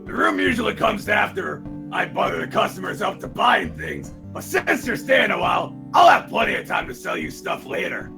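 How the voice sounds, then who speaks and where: A man voicing a brash, gravelly old con-artist showman who booms out sales patter in a fan-dubbed dating game. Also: sing-song, normal speed, hardly any pauses, music in the background, full character voice. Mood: showy hard-sell. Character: brash old male con-artist showman, loud tourist-trap owner.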